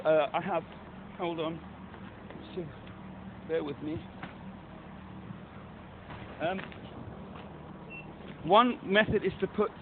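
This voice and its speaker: A man's voice: high-pitched, slight background noise. A man on a phone call, pressing his complaint urgently and repeating himself. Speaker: caller. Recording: tinny phone line.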